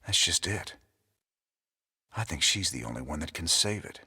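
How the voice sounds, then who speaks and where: Low, husky, world-weary space commander. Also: male voice, lively intonation, moderate pace, bright, forceful tone, heavily acted. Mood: troubled, weary. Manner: gruff, casual, plain-spoken. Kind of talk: naturalistic game cutscene acting. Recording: long reverb of a large room.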